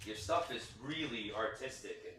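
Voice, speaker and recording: A man's voice: lowish voice, expressive, normal speed, bright, forceful tone. A man playing a nervous, apologetic children's TV performer in a naturalistic play reading, stammering and hesitant. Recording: very echoey room, distant mic, faint noise.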